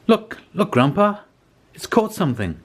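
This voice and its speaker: Man voicing an eager, curious young storybook child, lifted pitch. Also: a little slow, husky, exaggerated voice. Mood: excited. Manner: excited, questioning. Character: eager, curious young grandchild full of wonder. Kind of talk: storybook character voice.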